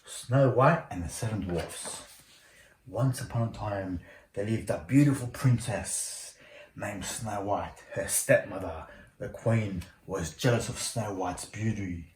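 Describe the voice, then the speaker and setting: Man, lowish voice, bedtime storybook narrator, slightly stumbling, roomy sound with faint noise. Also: slow pace, darkish tone. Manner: stumbles over words while reading. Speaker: father reading a bedtime story. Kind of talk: storybook read-aloud. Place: indoor room at home.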